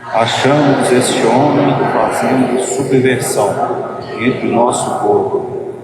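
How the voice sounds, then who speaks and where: A man reading the part of an insistent accuser in a dramatized scripture reading, with deliberate, declamatory delivery. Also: mid-range voice, steady tone, over a noisy crowd, lightly acted. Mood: accusing. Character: accusing, insistent crowd of priests and people. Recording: echoey room, loud background noise.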